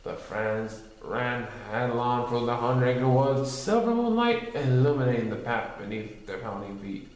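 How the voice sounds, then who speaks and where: A man reading a children's story aloud as narrator, in an expressive storytelling style. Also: medium pitch, measured pace, few pauses. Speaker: storybook reader. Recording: very echoey, reverberant room.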